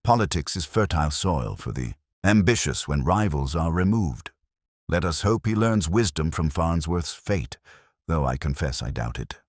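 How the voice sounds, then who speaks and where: A man's voice: extremely low pitch, sing-song, moderate pace, dry recording, clean studio sound, exaggerated voice. A man voicing a cerebral detective who speaks in a grave, didactic way with formal Victorian phrasing. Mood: grim.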